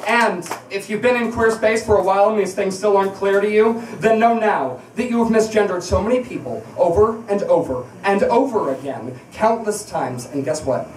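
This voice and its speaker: A woman's voice: medium pitch, animated, moderate pace, few pauses, a little mellow, slight background noise. A woman performing an impassioned spoken-word piece to a live audience in a bar, emphatic and confrontational, with rhetorical repetition. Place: indoors at a bar, live event. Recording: echoey bar room, distant microphone.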